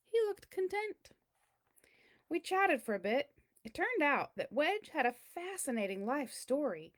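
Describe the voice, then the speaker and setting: A woman's voice: high voice, darkish tone. A woman voicing a boastful big cheese learning humility, telling its own picture-book story in a theatrical, emphatic way. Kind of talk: first-person picture-book read-aloud.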